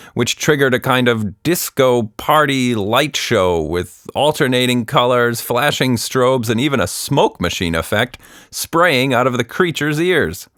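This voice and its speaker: Lively, expressive male children's storyteller. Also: medium pitch, dry recording, studio quality. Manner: playful, conversational. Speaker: podcast host and storyteller.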